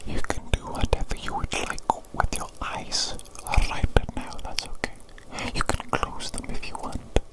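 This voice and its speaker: A woman's voice: lightly acted, low-quality recording. Whispering doting evil doctor played by a woman. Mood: soft, attentive. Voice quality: breathy unvoiced whisper. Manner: slow soothing repetition of short phrases. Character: doting evil doctor examining the creature they created. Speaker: ASMR performer. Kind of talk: ASMR medical roleplay.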